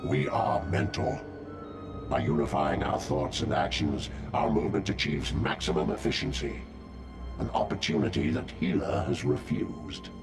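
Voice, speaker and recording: Gravelly, deep menacing droid overlord. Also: male voice, animated, music in the background. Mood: cold, proud. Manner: pompous, condescending, deliberate. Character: menacing, contemptuous droid hive-mind leader, male villain. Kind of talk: video game villain acting.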